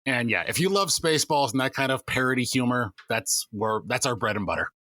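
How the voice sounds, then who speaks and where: Man guest, bright forceful tone, jokey, dry sound. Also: medium pitch, animated, a little fast, no echo. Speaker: game master, podcast guest. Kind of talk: casual podcast roundtable.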